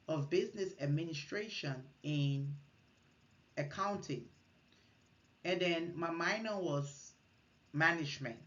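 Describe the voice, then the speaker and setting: A woman's voice: on the low side, fairly bright tone. Woman, earnest student presentation, muffled lo-fi sound. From Liberia. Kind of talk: recorded class presentation, partly read.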